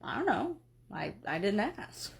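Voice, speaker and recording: A woman voicing a sleepy, slow-witted male god who mumbles, hesitates and apologizes. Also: mid-range voice, very animated, gravelly, a little hiss or hum.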